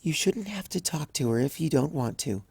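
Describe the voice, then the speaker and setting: Reader putting on a timid pony mare, soft and hesitant, higher pitched, hushed, dry cartoon-storybook voice. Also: animated, moderate pace, creaky, slightly rough, no room sound, full character voice. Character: timid gentle young female pegasus pony.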